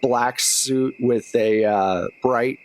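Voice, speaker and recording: A man's voice: mid-range voice, fairly even intonation, very slow. A man working as game master, narrating a tabletop role-playing game in a casual, conversational way.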